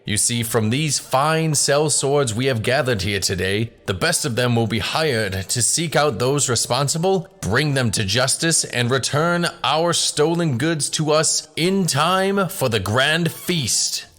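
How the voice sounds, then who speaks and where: A man's voice: medium pitch, expressive, a little slow, hardly any pauses, a bit bright, soft background music, pitched above the performer's own voice. A man acting a solemn village patriarch who addresses gathered fighters in an oratorical way, in a fantasy radio drama. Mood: grave, rallying. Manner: oratorical, proclaiming.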